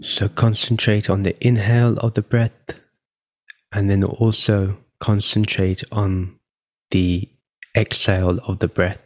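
A man with a low voice guides a meditation. He gives instructions gently and leaves pauses in the middle of his sentences, as a teacher leading a practice. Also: flat delivery. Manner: unhurried, pausing mid-sentence, gentle instructing. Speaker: meditation teacher, podcast host.

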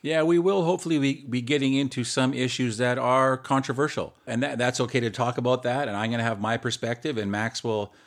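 A man's voice: mid-range voice, fairly even intonation, a little fast, few pauses. Earnest man hosting a podcast. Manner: earnest, with some restarts. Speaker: podcast host. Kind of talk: casual podcast chat.

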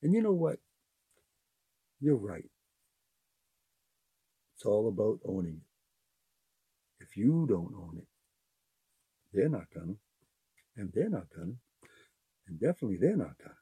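An older man with a very husky, mellow voice talks to his listeners unscripted, earnest and rambling, circling back over the same phrases. His pitch swings widely as he talks, and the sound is dry and close. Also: very animated, a little slow, pauses often, dry recording. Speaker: podcast host. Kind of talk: unscripted heartfelt monologue.

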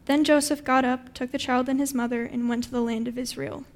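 A woman reading scripture aloud in an even, careful way. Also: sits high, fairly quick. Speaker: scripture reader.

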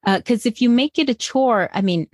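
A woman's voice: on the high side, lively intonation, moderate pace, a little mellow, no echo. Earnest woman with a dry close sound. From Colombia. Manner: earnest, encouraging, with frequent restarts. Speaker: guest, bilingual parenting educator. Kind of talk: podcast interview answers.

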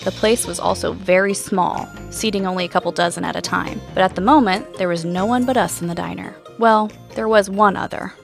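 A woman's voice: medium pitch, steady tone, a little fast, vocal fry, hardly any pauses, close to an everyday voice. A woman plays an eager female podcast host in a mystery audio drama. Her voice sits in a middle register as she talks and narrates with curiosity, with faint music underneath. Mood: measured storytelling. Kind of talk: audio drama dialogue and first-person narration.

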